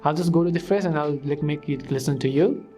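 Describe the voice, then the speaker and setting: A man's voice: on the high side, brisk, mellow. A man presenting a step-by-step how-to tutorial as voice-over narration. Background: background music with guitar.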